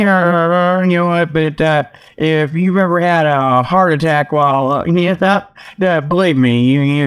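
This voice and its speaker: A man with a slightly high voice hosts a casual, humorous show. He rambles in a folksy, joking way and keeps getting sidetracked. He is speaking outdoors straight into a tablet resting on his leg. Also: clear, resonant tone. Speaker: host of a comedic report show. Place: outdoors, sitting by a river. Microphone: tablet's built-in mic, resting on leg.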